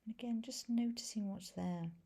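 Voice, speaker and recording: A woman leading a guided meditation as a teacher, speaking softly and gently in a breathy voice with long pauses between phrases. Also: fairly high pitch. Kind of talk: guided body scan meditation.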